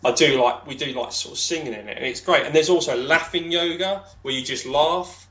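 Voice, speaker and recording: A man with a deep voice, a podcast guest chatting casually, talking in loose, rambling sentences full of fillers and restarts. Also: fairly quick, sharp tone, low-quality recording. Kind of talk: casual interview chat.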